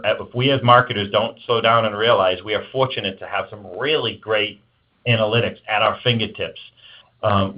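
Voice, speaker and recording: A man speaking as an executive guest in an interview, giving emphatic, conversational advice. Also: fairly low pitch. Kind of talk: interview answers on marketing. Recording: dull lo-fi band-limited audio.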